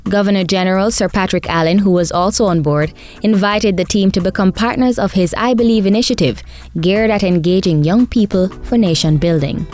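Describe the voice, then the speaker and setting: A woman presenting a short radio news feature in a clear, measured, formal way. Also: medium pitch, darkish tone. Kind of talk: scripted news feature reading.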